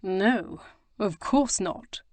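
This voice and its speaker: A woman's voice: mid-range voice, expressive, extremely slow, a little mellow, no room sound. A woman voicing a sardonic, amused aristocratic man with a dry, languid, teasing manner in an audiobook. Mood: dryly amused. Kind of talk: audiobook character reading.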